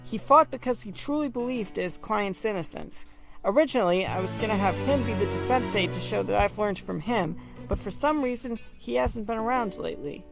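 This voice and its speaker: A woman acts out a male animal defense lawyer in a fan audio drama. He is earnest and principled, with a medium pitch for the performer. Music plays clearly behind the voice. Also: lively intonation, brisk.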